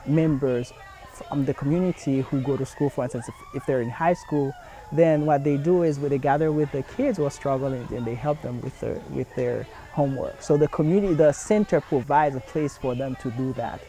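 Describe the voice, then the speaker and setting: A man's voice: mid-range voice, brisk, mellow. A young man from Haiti speaking in an earnest documentary interview, with frequent fillers and restarts. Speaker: community center founder's son.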